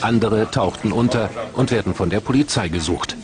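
A man reading the scripted narration of a television documentary in an even, measured way. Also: fairly low pitch, crowd noise.

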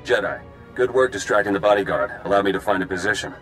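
An actor playing a stern, driven freedom fighter in a video game, with a clipped, businesslike manner. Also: male voice, fairly low pitch, animated, fast, a bit bright. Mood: firm. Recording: music playing behind the voice.

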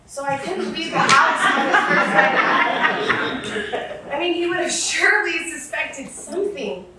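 Echoey high-voiced actress as a cheating wife. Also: female voice, normal speed, natural voice. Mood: brisk, defensive. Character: evasive cheating wife, firm and easily exasperated. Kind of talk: theatrical stage play dialogue. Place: indoor theatre stage, recorded from afar. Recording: echoey stage, distant microphone, faint noise.

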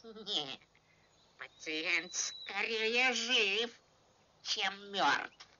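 Cartoon toad medic: croaking, contrary, swooping and very drawn out, lo-fi vintage sound. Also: medium pitch, animated, extremely slow, pushed and bright, slight background noise, exaggerated voice. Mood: contrary, indignant. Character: stolid toad medical assistant, contrary, slow-witted. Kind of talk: theatrical animated film voice acting. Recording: dull old film soundtrack.

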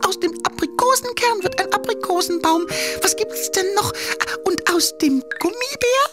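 A very high, childlike little king for a children's cartoon. He is cheeky and sure of himself, naive and stubborn in a playful way. Music plays behind him. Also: normal speed. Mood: eager, confident. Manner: playful, self-assured, naive.

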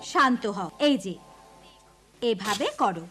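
A woman voicing a kind, encouraging schoolteacher in a cartoon, speaking gently and patiently. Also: medium pitch, lively intonation, takes it slowly, faint music behind. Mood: gentle. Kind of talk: cartoon character voice.

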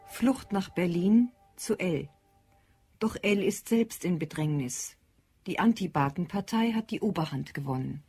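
Female narrator, lowish pitch, dark soft tone, composed, factual. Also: expressive, unhurried, breathy edge, faint music behind. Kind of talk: scripted narration, plot summary.

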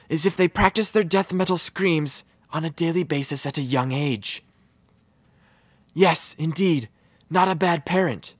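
A man delivers dark jokes as a blunt doctor in a deadpan, slightly raised voice. The recording is dry and close, made at home for an abridged cartoon audition. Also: fairly high pitch, dry recording. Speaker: voice actor.

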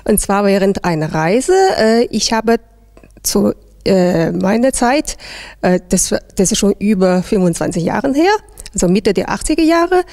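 A woman's voice: mid-range voice, gravelly, a little mellow. A middle-aged woman from China, a native Chinese speaker with a Chinese accent, answering interview questions hesitantly into a handheld microphone. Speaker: interviewee, teacher. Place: large indoor hall.

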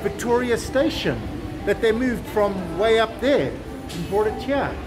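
A man's voice: high voice, animated, a little slow, over music. A man in his fifties giving an enthusiastic walking tour of a shopping terminal, exclaiming and chuckling as he goes. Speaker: travel vlogger. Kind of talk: casual walking tour chat. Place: outdoor shopping terminal, windy. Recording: windy outdoor street noise and crowd.

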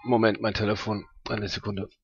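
Male tabletop player, casual planning, creaky fry, dry close recording. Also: on the low side, little pitch movement, moderate pace, no room sound, dull audio. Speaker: player in a tabletop role-playing game. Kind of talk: casual planning talk during a game.

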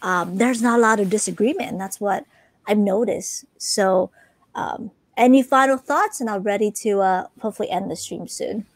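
A woman in her thirties hosting a casual livestream, chatting in a rambling, animated way and repeating herself for emphasis. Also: mid-range voice, moderate pace, no echo. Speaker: podcast host. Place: small room full of collectibles, indoors. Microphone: close desk microphone.

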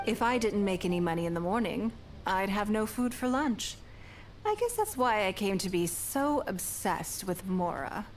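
An actress voicing a poised, wealthy female leader in a game, speaking in a measured, refined, self-assured way. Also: mid-range voice, big pitch swings, a little hiss or hum, natural voice. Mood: composed. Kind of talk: game character dialogue.

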